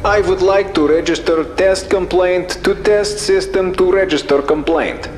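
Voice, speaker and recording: High-voiced, pedantic security guard. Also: male voice, unhurried, background noise. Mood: pedantic, aggrieved. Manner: pedantic, formal, drops articles. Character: pedantic, aggrieved male oil rig security guard. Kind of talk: recorded complaint log in a video game.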